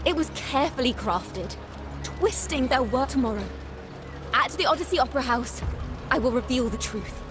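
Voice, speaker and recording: A woman's voice: sits high, animated, moderate pace. A woman playing a bold, defiant young poet and orator in a video game, speaking in an earnest, theatrical, declamatory way. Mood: dramatic, resolute. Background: background music.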